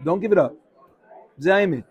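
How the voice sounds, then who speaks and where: A man leading an informal, animated Torah class, switching between English and Hebrew phrases as he teaches and takes questions. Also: sits high, very fast, breathy edge, lo-fi sound.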